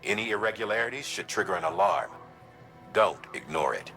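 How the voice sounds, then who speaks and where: A low-voiced, serious commanding officer delivers measured video game mission briefings. He sounds grave and instructive, with music behind him. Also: expressive, pushed and bright, heavily acted. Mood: cautioning.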